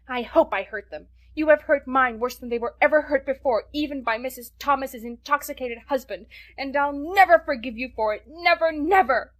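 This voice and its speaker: A woman voicing a passionate young orphan girl in a storybook reading, high and choked with fury. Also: lightly acted.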